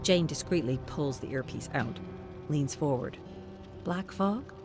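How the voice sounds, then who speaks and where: A woman's voice: rumbling low, moderate pace, music in the background. A woman reading scripted, dramatic story narration.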